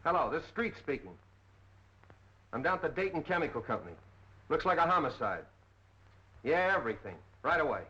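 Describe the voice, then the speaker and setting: An actor playing a brusque, no-nonsense police detective with a curt, businesslike delivery, in 1930s film style on an old muffled soundtrack. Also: male voice, fairly high pitch, very animated, a little fast, long pauses, pushed and bright. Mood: stern, questioning.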